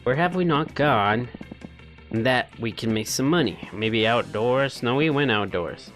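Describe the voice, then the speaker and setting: A man's voice: mid-range voice, expressive, a little slow, a bit bright, soft background music. A man hosting a gaming video, reading the story aloud theatrically and adding casual commentary.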